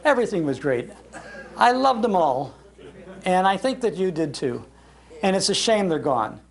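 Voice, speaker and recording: Older American man, slightly creaky voice. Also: high-pitched, big pitch swings, normal speed. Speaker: old-time radio show host giving a talk. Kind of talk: informal public talk to an audience. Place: public library meeting room.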